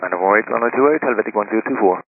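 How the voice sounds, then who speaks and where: A man's voice: lowish voice, very fast, breathy edge, dry recording. A man speaking as an airline pilot, reading back instructions in clipped phrases over aircraft radio. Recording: narrow-band aircraft radio with light static.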